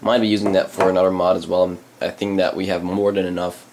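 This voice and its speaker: A man whose first language is Dutch, hosting a casual unboxing of computer case parts, halting, with many ums and uhs. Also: sits low, level tone, darkish tone, slight background noise. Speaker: channel host reviewing PC parts. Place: indoors at a desk, hands on camera.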